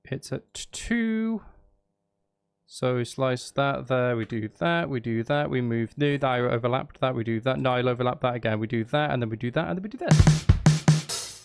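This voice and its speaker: A man's voice: mid-range voice, lively intonation, normal speed, gravelly, a little mellow, clear, resonant tone, no room sound, faint music behind. A man chatting casually on a live stream, thinking aloud as he works through a task, repeating phrases and questioning himself. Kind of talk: casual live stream chat while editing music.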